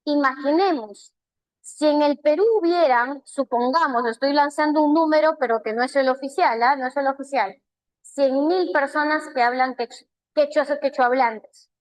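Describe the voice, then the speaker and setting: A woman's voice: sits high, normal speed, no echo, low-quality recording. A woman teacher from Peru, giving a live online class in a chatty way, asking rhetorical questions and answering students by name.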